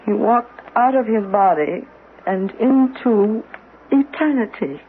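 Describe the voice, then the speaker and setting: A woman's voice: a little high, expressive, slow. An actress playing an earnest, shaken woman in a radio mystery drama, speaking dramatically and insistently. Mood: awed, intense. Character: earnest, shaken woman grieving her great-uncle. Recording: muffled, noisy old radio broadcast.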